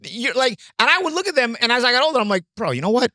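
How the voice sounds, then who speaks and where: A man in an animated sports discussion, emphatic and repeating himself, often saying "you know". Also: very high voice, very fast, dry recording, clean studio sound. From New York, USA. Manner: emphatic, repetitive, peppers talk with "you know". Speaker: boxing show panelist.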